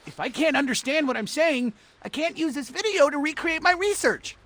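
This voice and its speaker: A man voicing an excitable, fussy scientist in a cartoon game, expressive and wordy, with a husky, creaky voice. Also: very high voice, normal speed. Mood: baffled. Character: excitable, fussy scientist, eager and easily appalled. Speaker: voice actor in a game cutscene. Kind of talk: animated cartoon game acting.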